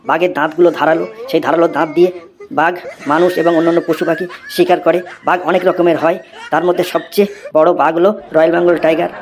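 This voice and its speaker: A man's voice: fairly high pitch, lightly acted. A man voicing a cheeky, unflappable schoolboy in a comedy cartoon, reciting essays by rote.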